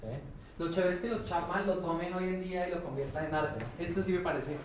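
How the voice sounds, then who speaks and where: A man's voice: sits high, fairly even intonation, fairly quick. A Colombian man giving an informal lecture as a professor, speaking in a colloquial, slangy, irreverent way and often checking in with short tag questions. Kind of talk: informal conference talk. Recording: echoey room, distant mic, faint noise.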